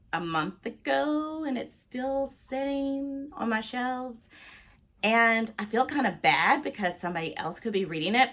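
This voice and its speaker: A woman talking casually to viewers as a book vlogger, with an expressive, playful and emphatic delivery. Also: mid-range voice. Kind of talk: casual, chatty talk to viewers.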